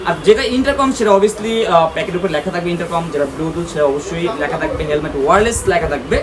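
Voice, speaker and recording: A man's voice: on the high side, racing. A man with a Bangladeshi accent giving a casual promotional product showcase as a shop presenter, talking straight to viewers with English words and check-in tags mixed in. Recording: clearly noisy, ambient background sound.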